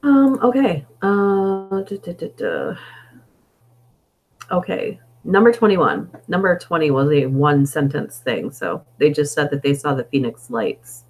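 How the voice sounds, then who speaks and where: A woman with a hoarse, fading voice, chatting casually and meandering on a live stream between story readings, on a budget desktop microphone. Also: low-pitched, sing-song, unhurried. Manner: chatty and meandering, thinking aloud. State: hoarse, losing her voice.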